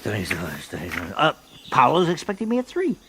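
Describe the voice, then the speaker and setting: A man playing a bumbling, persistent detective in a comic radio drama, haltingly repeating words as he puzzles them out. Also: medium pitch, huge pitch swings, noisy background. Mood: puzzled, fumbling. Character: bumbling, persistent American detective struggling with Portuguese.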